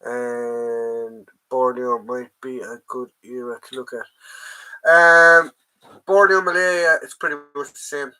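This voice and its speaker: Irish guy with a head cold, sounds bunged up, bright voice, rambly live chat from home, says hi to everyone. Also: mid-range voice, fairly even intonation, slow pace, clean tone, rough recording. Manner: rambling, greets viewers by name, many ums. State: stuffy nose from a head cold. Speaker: livestream host, collector.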